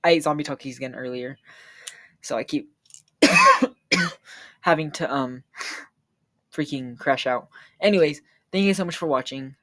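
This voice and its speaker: A man reading a true crime script aloud in an even, steady way, then chatting casually. He says he is losing his voice and feels like he is getting sick. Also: on the high side, a little slow, long pauses, no echo. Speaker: true crime video creator. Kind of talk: read true crime narration with a casual outro.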